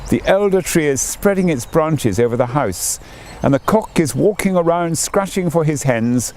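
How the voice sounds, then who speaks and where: A man's voice: fairly high pitch, lively intonation, normal speed, darkish tone, a little hiss or hum, voice pushed up. A man reading the part of a magical little girl in a fairy tale, eager and exclaiming. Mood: excited, wondering. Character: excited little girl, magical elder spirit in disguise.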